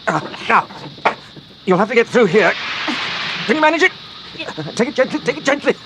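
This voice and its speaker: An actor playing a calm, protective, well-spoken British gentleman detective and husband in a radio drama. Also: very high voice, normal speed. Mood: urgent, protective. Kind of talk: BBC radio drama acting. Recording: noisy radio drama with sound effects.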